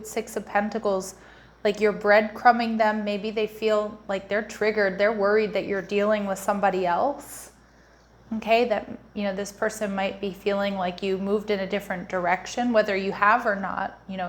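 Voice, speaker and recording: A woman hosts a tarot reading with a slightly croaky voice from a cold. She talks casually and warmly to her viewers and keeps restarting her sentences. Her pitch sits mid-range, with a faint noise behind her. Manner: chatty, repeats the listener's sign often; frequent restarts and fillers, warm addressing. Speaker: tarot reader.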